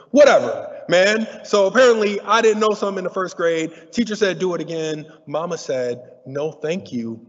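A middle-aged man from Detroit tells stories from a podium in a large auditorium, playful and animated as he keeps asking the crowd to answer him. His voice rings with a long hall reverb. The recording sounds dull and muffled. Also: medium pitch. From Detroit, USA. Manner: animated, playful, talks to the audience. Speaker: keynote speaker. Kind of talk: storytelling keynote talk.

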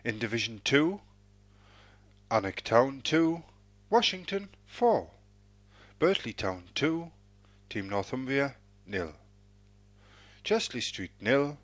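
Slow, lilting male results announcer. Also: medium pitch, no room sound. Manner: measured, formulaic sing-song cadence. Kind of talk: football results read-out.

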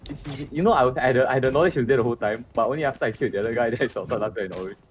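A man's voice: mid-range voice, rapid-fire, slight background noise. A man bantering with friends in gaming voice chat, teasing and laughing as he plays. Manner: teasing, laughing, switches into Mandarin. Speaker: gamer in voice chat.

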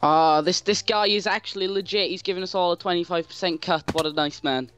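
A man's voice: sits high, fairly even intonation, brisk, fairly bright tone, slight background noise. A man bantering playfully with friends while gaming, swearing and goofing around. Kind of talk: casual gaming chat with friends.

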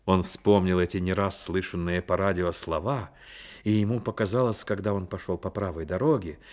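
A man narrating a radio play as a grave storyteller, in a measured, dramatic way. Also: sits low, fairly even intonation, close to an everyday voice. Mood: solemn.

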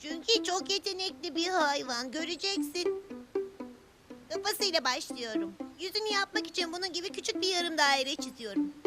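A very high, childlike cartoon voice, young, eager and polite. It explains things step by step in a children's show, with loud music playing behind it. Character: Louie, young cheerful drawing character, eager, polite.

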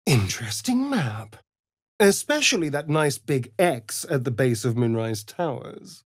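A sardonic, vain elven vampire rogue from a fantasy game, with an English accent. His voice is breathy and close, recorded very dry. He draws his words out in an arch, mocking way, with theatrical swoops in pitch. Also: sing-song, measured pace, dry recording. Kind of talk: video game character voice lines, theatrical delivery.

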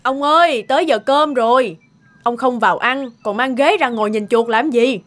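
Nữ diễn viên vai bà vợ nông dân hay lo, giọng khá cao. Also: female voice, on the high side, normal speed, fairly bright tone, lightly acted. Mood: nagging. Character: worried, nagging middle-aged village farmer's wife. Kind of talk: cartoon dialogue.

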